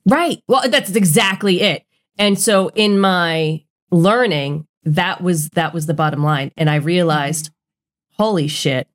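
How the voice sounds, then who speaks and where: A woman's voice: fairly low pitch, takes it slowly. A woman co-hosting a podcast, talking in an earnest, emphatic, blunt way in casual conversation. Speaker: podcast co-host.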